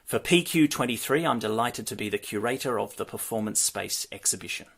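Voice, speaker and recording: A man from Australia introduces himself in a measured, formal way. His voice sits low and has a gravelly edge. He speaks as a theatre lecturer presenting his curatorial role. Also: expressive, a bit bright. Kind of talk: prepared self-introduction.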